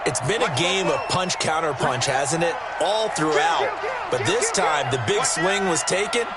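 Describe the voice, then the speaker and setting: A man's voice: fairly high pitch, lively intonation, unhurried, a loud crowd. A man playing a football color analyst with an American accent, explaining things in a folksy, conversational way. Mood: explanatory, assured. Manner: explanatory, folksy turns of phrase. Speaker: color commentator in an American football video game. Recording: loud gameplay noise behind the voice.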